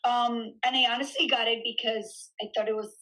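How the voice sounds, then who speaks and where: Bright-voiced woman trainer telling her story. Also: a little high, a little fast, poor audio. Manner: chatty, animated storytelling with frequent "um" and laughs. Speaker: fitness studio founder and trainer, podcast guest. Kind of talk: casual conversational interview.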